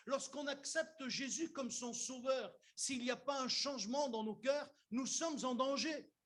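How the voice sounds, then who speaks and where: High-voiced man preaching conversationally. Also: unhurried, fairly bright tone. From Algeria. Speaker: pastor. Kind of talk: sermon. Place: church.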